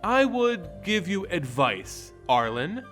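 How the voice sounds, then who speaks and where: A man voicing a solemn, frank female spirit adviser in an audio story, measured and admonishing. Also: high-pitched, big pitch swings, a bit bright, exaggerated voice. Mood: grave, admonishing. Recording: music behind the voice.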